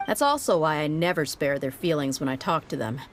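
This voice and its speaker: A woman's voice: low voice, lively intonation, fairly quick, slight background noise. A woman acting a blunt, confident young female mercenary in a video game, with an easygoing, teasing way of talking. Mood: teasing, candid. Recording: music behind the voice.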